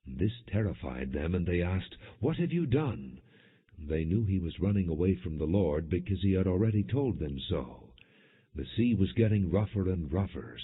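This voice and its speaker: A man reading scripture as an audiobook narrator, in a measured, solemn and expressive way. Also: low-pitched, slightly rough. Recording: dull, muffled lo-fi audio.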